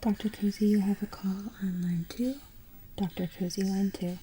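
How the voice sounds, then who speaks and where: A woman whispering softly in an ASMR roleplay as a polite hospital receptionist answering phones. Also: medium pitch, little pitch movement, normal speed, a little hiss or hum. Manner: soft, mostly unvoiced whisper; polite phone-desk manner.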